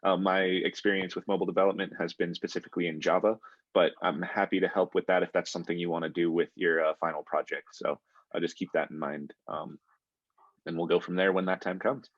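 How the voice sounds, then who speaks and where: Casting: deep-voiced male instructor, hesitant and friendly, over a dull, dry call line. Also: level tone, no room sound. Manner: friendly, halting with many ums and restarts. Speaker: coding instructor. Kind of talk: online class, calling on students. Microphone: video call. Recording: muffled video call audio.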